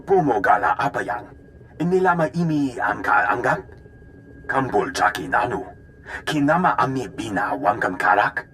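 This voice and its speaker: An excitable game alien, voiced by a man, with a bright, forceful tone. The pitch leaps around as it delivers choppy, emphatic phrases. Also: moderate pace, a little hiss or hum, lightly acted. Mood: brisk. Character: excitable alien speaking a made-up tongue. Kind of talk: video game alien character acting.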